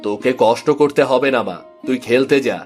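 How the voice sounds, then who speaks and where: A humble Bengali village dad acted by a man in a cartoon story, with a mid-pitched voice over soft music. He sounds weary and plaintive, yet gentle and caring. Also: brisk, close to an everyday voice.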